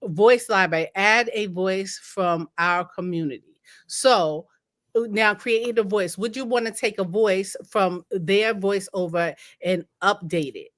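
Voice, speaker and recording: A middle-aged woman with a Northern US accent walks through a live demo in loose chat, laughing and restarting sentences. Her pitch sits mid-range, and the sound is close and dry from a computer mic. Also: measured pace, a bit bright, dry recording. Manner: laughs often, trails off and restarts. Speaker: co-host.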